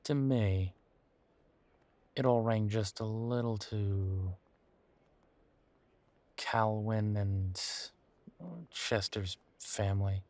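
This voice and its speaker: A man acts out a weary werewolf boyfriend in an intimate audio drama. His voice sits fairly low and moves very slowly. He speaks in a casual, wry way, hesitating and trailing off between thoughts. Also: fairly even intonation, darkish tone. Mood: weary, bitter. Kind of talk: intimate roleplay audio drama, conversational monologue.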